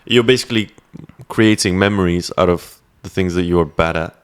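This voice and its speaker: A man's voice: sits low, clean tone. A man hosting a podcast who breaks in with short questions, paraphrases the guest and jokes. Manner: interjecting, quick to paraphrase and joke. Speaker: podcast host, engineer. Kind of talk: casual podcast conversation.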